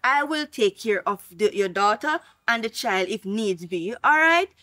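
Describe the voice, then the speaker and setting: Woman as devout, wounded wife; high voice, emotional. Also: expressive, unhurried, pushed and bright. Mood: pleading. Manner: pleading, then furious. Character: churchgoing wife, emotional, righteous.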